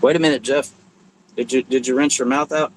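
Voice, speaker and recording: A man's voice: mid-range voice, steady tone, fairly quick, slight background noise, poor audio. A man co-hosting a livestream from another channel, giving easygoing, brief replies in casual chat.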